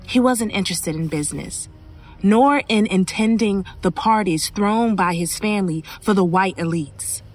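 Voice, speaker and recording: A woman's voice: mid-range voice, normal speed, natural voice. An actress playing a guarded, reticent shopkeeper telling local ghost lore in a horror audio drama, with music behind her. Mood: somber recounting.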